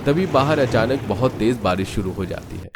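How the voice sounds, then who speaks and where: A man's voice: mid-range voice, animated, moderate pace, background noise. A man narrating a scripted cartoon story as a storyteller.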